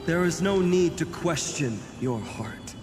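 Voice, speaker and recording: Resolute young hero, fairly high voice, solemn declamation, roomy echo, loud music behind, video game. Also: male voice, animated, slow. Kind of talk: dramatic video game voice acting. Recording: echoey long reverb under loud music.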